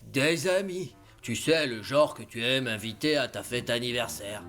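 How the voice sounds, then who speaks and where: A man voicing a sly, mocking schemer who feigns friendship with an insinuating, drawn-out delivery in an animated film. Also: mid-range voice, faint music behind. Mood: falsely friendly.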